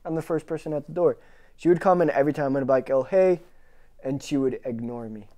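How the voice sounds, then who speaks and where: A man's voice: mid-range voice, quick pace, mellow. A young man, a comedian guest on a podcast, telling stories in a laid-back way, swearing and laughing often. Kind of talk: casual podcast chat.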